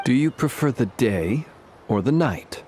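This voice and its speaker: A man voicing a courteous gentleman candy maker in a video game, speaking formally and politely with elaborate phrasing. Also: mid-range voice, animated, a little slow, slight background noise, natural voice. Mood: gently probing. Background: game soundtrack music.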